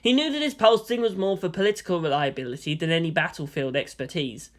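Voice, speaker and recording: A male storyteller reads dramatic fiction in a scripted narration style. His phrasing is expressive and deliberate, and his voice is fairly high for a man.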